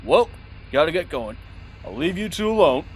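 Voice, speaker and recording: A man as a friendly, lively railway engine. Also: on the high side, normal speed, background noise. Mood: familiar, easygoing. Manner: warm, cordial. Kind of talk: audio drama acting.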